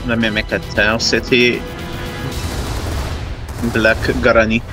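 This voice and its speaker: A man's voice: on the low side, completely flat delivery, slow pace. Joking gamer man over game music. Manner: joking, swearing, playful broken phrasing. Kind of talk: casual voice chat while gaming. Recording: game music behind voice chat.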